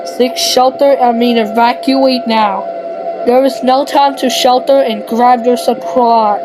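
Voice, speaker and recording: Higher-pitched woman reading mock emergency alerts. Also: steady tone, takes it slowly, noisy background. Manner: stumbling, often self-correcting, reciting place lists.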